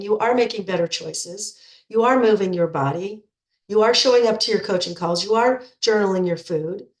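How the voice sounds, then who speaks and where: Middle-aged female coach, congested from a cold, chatty and repetitive, in an echoey room. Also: mid-range voice. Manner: chatty, repeats words when restarting. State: stuffed up with a cold. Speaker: nutrition coach. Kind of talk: informal coaching talk to program members.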